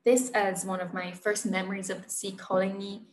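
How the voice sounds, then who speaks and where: Woman, carefully phrased prepared talk, creaky edge, roomy with faint noise. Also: medium pitch, little pitch movement, moderate pace. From Barbados. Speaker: conservationist and presenter. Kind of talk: prepared scripted presentation. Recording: roomy echo, light background noise.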